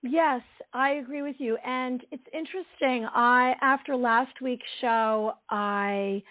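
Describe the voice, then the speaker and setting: A woman with an East Coast American accent, co-hosting a spiritual radio show while still getting her voice back, speaking earnestly with pauses. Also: high voice, fairly even intonation, dry recording. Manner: earnest, halting with fillers. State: recovering from lost voice. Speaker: co-host, intuitive wisdom coach. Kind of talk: casual spiritual radio talk. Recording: dry tinny phone-line sound.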